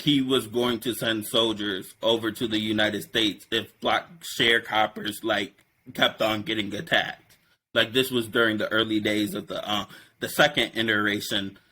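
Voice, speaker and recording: A man hosts a casual political talk, his voice bright and forceful. He rambles and stacks up fillers, but he talks with animated conviction. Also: mid-range voice, poor audio. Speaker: podcast host.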